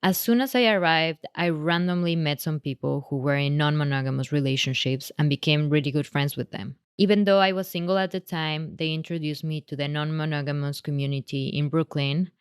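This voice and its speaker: Podcast host, woman, Mexican accent, low smooth voice, casual and a bit halting when asking questions. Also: steady tone, dry recording, studio quality. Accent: Mexican Spanish. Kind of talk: podcast intro and interview.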